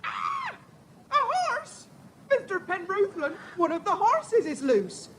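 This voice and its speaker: Shrill, startled farmer's wife. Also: female voice, wildly swooping pitch, slow pace, fairly bright tone, exaggerated voice. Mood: alarmed. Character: flustered shrieking farmer's wife. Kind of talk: children's audiobook drama acting. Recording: faint tape hiss.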